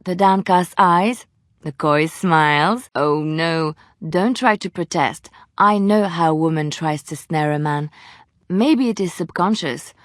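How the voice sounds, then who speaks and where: An actress playing a gentle, devout young woman in a fantasy video game, speaking earnestly and wistfully, with lyrical phrasing. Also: low voice, unhurried, no echo, studio quality. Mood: teasing, accusing. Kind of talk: video game companion dialogue.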